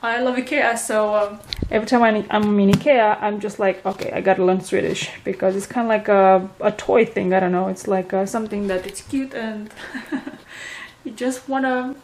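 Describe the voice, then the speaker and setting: A young woman with a Romanian accent, a native Romanian speaker, chatting casually in a home vlog and often pausing to search for words. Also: medium pitch, steady tone, darkish tone, slight background noise. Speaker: vlogger.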